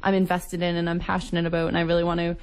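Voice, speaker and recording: A woman's voice: lowish voice, monotone, very fast, a little mellow. A young woman from Nova Scotia with a Canadian accent, answering earnestly as a guest in a casual radio interview. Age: young adult. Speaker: guest, incoming student union executive. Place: radio studio.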